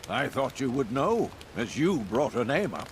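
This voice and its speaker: Fantasy game schemer: conspiratorial, cunning, deliberate, medium pitch, faint ambient noise behind. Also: male voice, big pitch swings. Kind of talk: fantasy video game voice acting.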